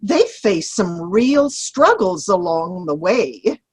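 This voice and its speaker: A woman playing a mild, peacemaking older friend in an amateur comedy play reading, gentle and family-minded. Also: on the low side, big pitch swings, slow pace, breathy edge, full character voice. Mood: conciliatory. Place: online video-call play reading.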